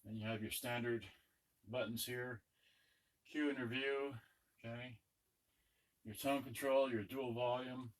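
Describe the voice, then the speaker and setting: A man's voice: mid-range voice, steady tone, unhurried, long pauses. A man giving a casual hands-on demonstration, muttering to himself as he works. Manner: muttering to himself while working. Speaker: electronics unboxing presenter. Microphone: camera on a tripod. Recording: noisy room recording with clicks and handling.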